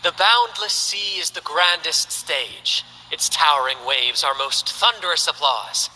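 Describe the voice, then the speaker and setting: A man's voice: a little high, unhurried, sharp tone, long reverb tail, a little hiss or hum, poor audio. A man voicing a flamboyant, carefree young performer who talks in a grand, theatrical way. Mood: showy. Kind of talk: game character voice lines.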